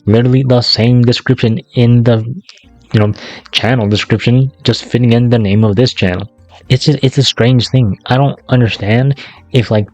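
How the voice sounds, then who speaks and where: A man's voice: mid-range voice, a little mellow, dry recording, muffled recording. A man narrating a casual scripted YouTube commentary in a sarcastic, slangy, profane way. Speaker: YouTube video essayist.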